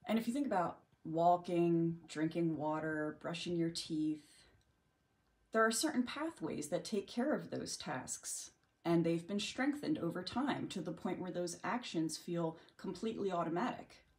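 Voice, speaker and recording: A woman leading a guided meditation in a gentle, unhurried manner with long pauses. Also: fairly low pitch, expressive. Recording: music bed under the voice. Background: background music.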